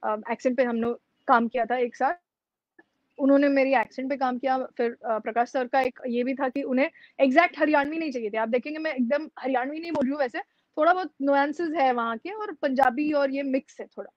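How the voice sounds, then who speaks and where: A woman's voice: sits high, a little fast, no room sound, muffled recording. A young woman from Mumbai telling a story in a casual interview, chatty, with lots of fillers and English mixed in. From India, Mumbai. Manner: chatty, mixes English into Hindi, many fillers. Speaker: actress being interviewed.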